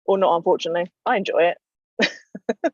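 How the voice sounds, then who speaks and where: A woman's voice: lowish voice, moderate pace, slightly rough, dry recording. A woman speaking from inside a car, hesitant and self-deprecating. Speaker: panelist, author. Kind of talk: panel discussion. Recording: dull lo-fi car audio.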